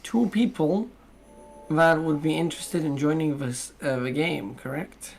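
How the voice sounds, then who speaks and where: A game master chatting casually, halting with many uhs and teasing the others. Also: mid-range voice, vocal fry, music in the background. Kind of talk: casual chat between game sessions.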